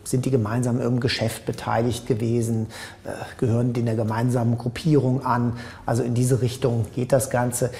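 Male expert analyst, flat intonation, gravelly voice, thinking aloud in commentary. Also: mid-range voice, fairly quick.

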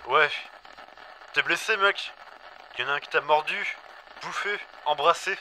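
A man acts out a suspicious, confrontational survivor in a zombie radio drama. His voice is fairly high and very forceful, with slangy, swearing delivery. It comes over a two-way radio with faint noise around it. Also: unhurried. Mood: pressing, irritated. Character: brash, suspicious, foul-mouthed male zombie survivor on radio. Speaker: voice actor. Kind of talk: audio drama acting, slangy dialogue. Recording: noisy two-way radio transmission.

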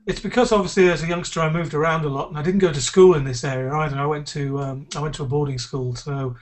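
A man with a neutral British accent explains himself in a measured way on a video call. He speaks quickly, his voice a little high. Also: fairly even intonation. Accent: neutral British English. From UK, born in Malta.

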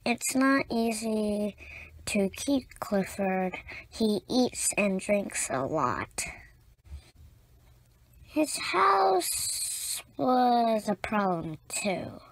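A woman reading a children's picture book aloud as a storyteller, in deliberate, spaced-out phrases with short asides to young listeners. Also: medium pitch, big pitch swings, vocal fry, a little hiss or hum, poor audio.

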